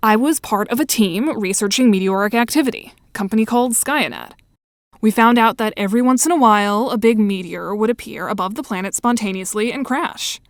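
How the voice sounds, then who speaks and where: A woman's voice: on the high side, brisk, no echo, studio quality, lightly acted. A performer voicing a blunt, cynical young woman who used to be a researcher, in a read-aloud story. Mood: explaining. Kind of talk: audiobook character reading.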